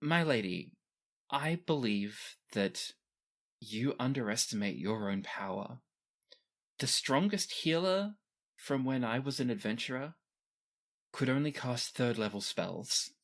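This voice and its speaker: A man voicing a professional female retainer and former adventurer who speaks in a formal, measured, respectful way in a tabletop game. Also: mid-range voice, animated. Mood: respectful, earnest.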